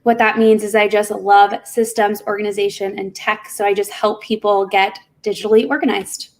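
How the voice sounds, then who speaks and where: A woman's voice: medium pitch, level tone, moderate pace. A woman business owner, a guest on a video call panel, talking in an upbeat, chatty way.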